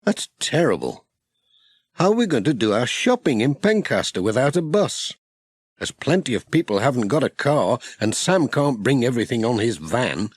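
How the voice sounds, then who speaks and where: Chirpy village postman, played by a male storybook reader: friendly, practical, animated, a little husky. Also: mid-range voice, moderate pace, no echo, studio quality. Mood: concerned. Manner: chirpy, practical, full of ideas. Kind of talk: children's storybook dialogue.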